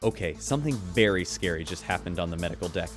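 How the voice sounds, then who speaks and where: A man's voice: low-pitched, expressive, fast, faint music behind. A man voicing a chatty, wry radio news host aboard a starship, reading scripted reports.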